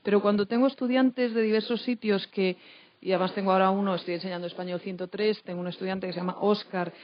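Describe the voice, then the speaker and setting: A woman's voice: medium pitch, steady tone, fast talker. A woman from Madrid with a Spanish accent from Spain, speaking as a teacher on a panel in a talkative, anecdotal way with frequent tag questions. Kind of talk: unscripted panel discussion. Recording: dull, lo-fi event recording.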